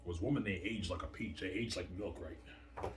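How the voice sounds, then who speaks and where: A man's voice: sits low, moderate pace. A man in his thirties rambling boastfully in a casual monologue, tagging "right?" and "obviously" onto his points. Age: 30s. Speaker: channel host. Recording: distant mic with faint noise.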